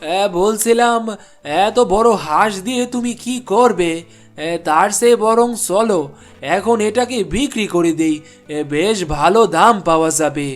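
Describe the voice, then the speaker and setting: A man voicing a weary, soft-hearted poor village husband in a cartoon, plain-spoken and deferential. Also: sits high, a little slow, faint music behind. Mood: coaxing. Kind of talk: cartoon voice acting.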